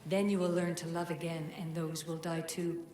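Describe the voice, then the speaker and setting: Woman as ancient oracle-like spirit, low-set female voice in long reverb, solemn and commanding, formal video game delivery. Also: little pitch movement, warm tone, a little hiss or hum, natural voice. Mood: solemn, cryptic. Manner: oracular, measured, formal. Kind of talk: dramatic game cutscene acting. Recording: echoing long reverb, music behind.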